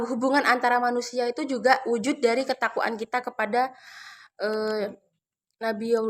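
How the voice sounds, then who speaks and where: A young woman with a high voice delivers a short Islamic talk as a student speaker. Her delivery is conversational and somewhat halting, with words often repeated as she finds her way through the sermon. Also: a bit bright. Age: young adult.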